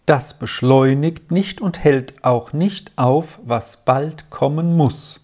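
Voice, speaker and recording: A storybook narrator voicing a gentle, ailing father, an army major who speaks calmly and soothingly. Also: medium pitch, slow pace. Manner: calm, soothing, resigned.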